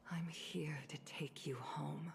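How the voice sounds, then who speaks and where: An actress voicing a composed, caring woman commander in a video game, speaking softly, formally and in measured phrases. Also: low voice, steady tone, creaky, faint music behind, heavily acted. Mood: tender concern.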